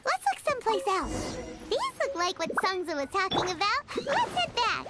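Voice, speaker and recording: A woman voicing a small, chirpy fairy companion girl with a squeaky, childlike voice in an anime game. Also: big pitch swings, moderate pace. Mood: matter-of-fact. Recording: game music loud behind.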